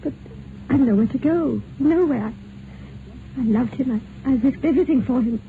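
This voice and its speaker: A woman's voice: high-pitched, normal speed. An actress playing a desperate, well-bred woman in 1950s radio drama, faltering and theatrical. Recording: faintly noisy, narrow-band 1950s radio broadcast.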